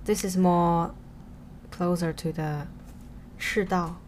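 Woman, co-host, lowish voice, playful, asks a lot of questions, faint ambience. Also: fairly even intonation, slow, a little mellow, a little hiss or hum. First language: Chinese. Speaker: podcast co-host. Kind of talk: casual chat.

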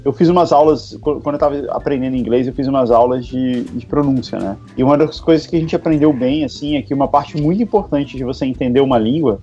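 A man's voice: medium pitch, fast talker, hardly any pauses, warm tone. A man from Brazil co-hosting a casual podcast, telling stories with frequent hesitations and restarts. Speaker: podcast co-host. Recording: dull lo-fi sound, faint music.

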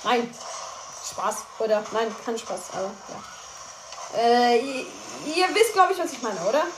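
A woman's voice: on the high side, sing-song, measured pace. Young girl narrating her match, stumbles over words, noisy echoey recording. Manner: chatty, playful, trips over words. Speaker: gameplay commentator. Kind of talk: casual gameplay commentary. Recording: echoey room with noise.

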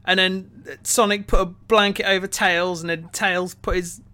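High, bright-voiced man, stammering a bit. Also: flat delivery, measured pace, clean tone. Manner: hesitant, stammering, repeats words. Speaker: podcast co-host, film reviewer. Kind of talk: casual chat about film.

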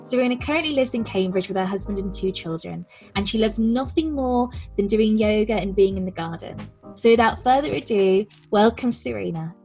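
A woman's voice: medium pitch, a little fast, hardly any pauses, mellow, rough recording. Podcast host: young woman, mid-range voice, scripted presenting and interview questions, music in the recording.

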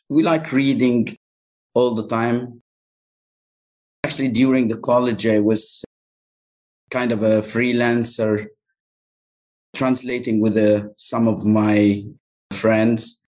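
A man from Egypt whose first language is Arabic, answering interview questions thoughtfully with many pauses and fillers. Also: medium pitch, a little mellow, low-quality recording. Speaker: localization agency owner, guest.

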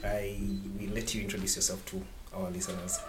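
Low-voiced host, faint hiss behind. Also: male voice, level tone, fairly quick. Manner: brief encouraging interjections. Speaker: podcast host. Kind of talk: casual interview chat.

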